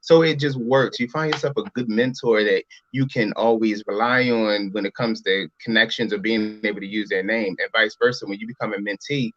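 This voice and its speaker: A man's voice: on the low side, fairly even intonation, fairly quick, hardly any pauses, fairly bright tone, no echo. Late-30s man from Philly, casual interview guest, mid voice, rambling, dry muffled audio. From Philadelphia. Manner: rambling, repeats "you know what I'm saying". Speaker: interview guest, brand entrepreneur.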